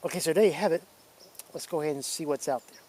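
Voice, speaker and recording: A man's voice: fairly high pitch, fast, darkish tone. A man presenting as a radio hobbyist, giving casual hands-on demonstration commentary.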